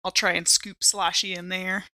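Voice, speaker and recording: A woman's voice: fairly low pitch, little pitch movement, normal speed, bright, forceful tone, no room sound, clean studio sound. A woman playing a tabletop game, improvising casually in a giggly, playful manner.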